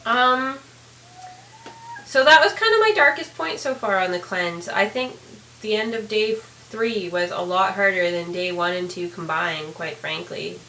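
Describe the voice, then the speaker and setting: A woman with a hoarse, raspy voice giving a candid, rambling video diary update, full of fillers. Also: mid-range voice, a little slow, bright, forceful tone, reverberant, a little hiss or hum, rough recording. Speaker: vlogger.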